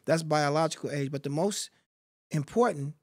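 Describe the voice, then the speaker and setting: A middle-aged man talking as a podcast guest in a studio, in a measured, reflective storytelling way. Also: fairly high pitch, no echo. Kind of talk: reflective interview talk. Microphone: studio mic on boom.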